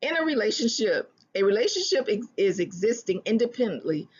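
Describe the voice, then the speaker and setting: Husky voice, earnest self-help speaker, repeats phrases, slows for emphasis, muffled recording. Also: mid-range voice, very animated. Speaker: relationship advice speaker. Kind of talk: motivational self-help talk.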